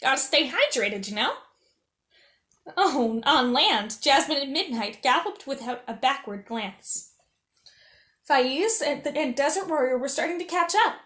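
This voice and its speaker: High-voiced woman reading a children's story. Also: unhurried, echoey room. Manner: animated, acting out dialogue. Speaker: storyteller reading as a princess character.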